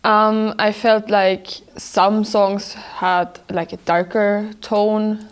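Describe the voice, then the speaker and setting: Young female interviewee, thoughtful, unhurried. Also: mid-range voice, level tone, clean tone. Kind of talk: casual interview answer.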